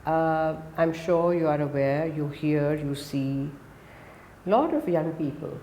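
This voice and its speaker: A woman in her seventies, a guest from India who speaks Gujarati as her first language. She talks in English with an Indian accent and gives emphatic answers in quick lists. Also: sits low, warm tone, a little hiss or hum. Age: 70s. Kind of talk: conversational interview. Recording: roomy echo.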